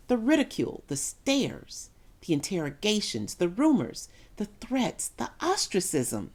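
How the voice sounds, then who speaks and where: A grieving, resentful mother in middle age, acted by a woman in a dramatic reading. Her voice sits a little low for a woman. She speaks theatrically and deliberately, weighting her words with feeling and long pauses. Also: a little mellow.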